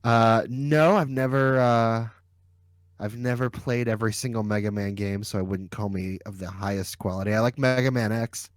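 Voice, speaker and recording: A man's voice: on the low side, steady tone, normal speed, no echo. A man hosting a podcast, chatting casually with a group. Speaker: podcast host.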